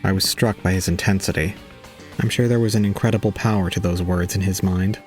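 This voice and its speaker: A man plays a detached young male student telling his own story in an audio drama. His low voice is solemn, resigned and calm, with soft music behind it. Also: fairly quick, close to an everyday voice. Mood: solemn, pitying. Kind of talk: scripted first-person audio drama narration.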